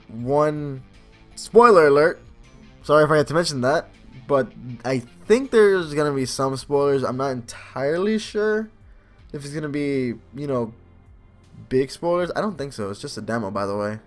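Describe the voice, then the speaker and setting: Let's play host: young adult male, mid-pitched, casual and rambling, faint game music underneath. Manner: rambling, self-deprecating. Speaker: gaming video host. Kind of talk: casual let's play commentary and reading aloud.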